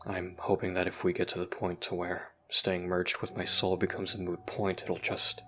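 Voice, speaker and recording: Man acting a low, jaded, sardonic youth. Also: level tone, moderate pace, sharp tone, slight background noise. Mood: serious, conspiratorial. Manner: sardonic, conspiratorial, casually profane. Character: jaded human youth trapped in a time loop, sardonic, possessed. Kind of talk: audio roleplay acting.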